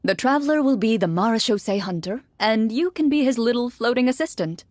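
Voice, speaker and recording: A woman's voice: a little high, fairly quick, darkish tone, no echo, clean studio sound, close to an everyday voice. An actress playing a composed young woman who hosts a tabletop game, instructing politely in video game style. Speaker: game master running a tabletop session. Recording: background music under the voice.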